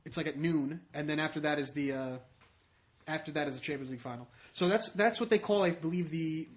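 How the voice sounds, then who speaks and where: Male podcast co-host, slightly high-pitched, conversational soccer chat, circles back over his own phrases. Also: fairly quick. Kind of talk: casual soccer discussion.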